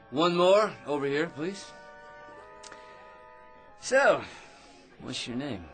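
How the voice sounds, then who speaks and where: An actor playing a self-important, talkative loser at a bar, pompous and stammering, in a radio drama. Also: male voice, fairly high pitch, very animated, extremely slow, pushed and bright, faint music behind, full character voice. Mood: chatty. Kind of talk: old-time radio drama acting.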